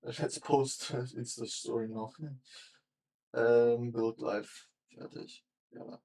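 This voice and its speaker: A man's voice: medium pitch, little pitch movement, slow pace, warm tone, a little hiss or hum. A young man streaming casually as he works, thinking aloud in loose, rambling sentences that often trail off. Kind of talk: casual live-stream chat while working. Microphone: desk mic.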